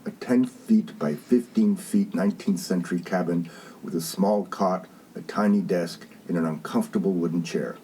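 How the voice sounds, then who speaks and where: A male narrator sets scenes for a radio comedy in a scripted style. His voice sits low and is dark and mellow, with faint noise behind it. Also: moderate pace, poor audio.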